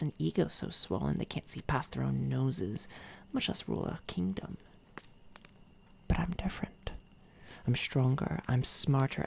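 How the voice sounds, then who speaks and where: A woman voicing a sinister, megalomaniac evil doppelganger in a soft-spoken ASMR roleplay, breathy and often whispering, with deliberate, grandiose, condescending delivery. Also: low voice, a little hiss or hum, full character voice. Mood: bitter contempt. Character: sinister female evil doppelganger, coldly megalomaniac would-be ruler. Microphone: close binaural microphone.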